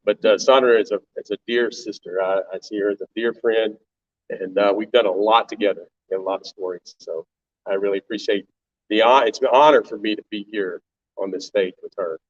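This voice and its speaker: An older man co-hosts a live talk, speaking haltingly with many fillers and restarts. His voice is fairly low and picked up from some distance. The sound is somewhat dull. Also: steady tone. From Tennessee. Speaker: co-host, volunteer organization leader. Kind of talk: live panel talk to an audience. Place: stage before an audience.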